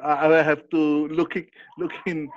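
Headset man, higher voice, halting phrasing. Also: level tone. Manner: halting non-native English, frequent fillers and encouraging backchannels. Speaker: interview host. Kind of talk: video call interview. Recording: dry lo-fi headset audio.

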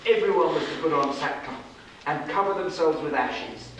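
A middle-aged man reading a scripted story aloud to children in a dramatic, deliberate way with pauses. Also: a little high, fairly bright tone. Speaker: storyteller at a children's puppet show. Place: children's classroom-like room, indoors. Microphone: far camera. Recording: echoey room, distant camera mic, noisy.

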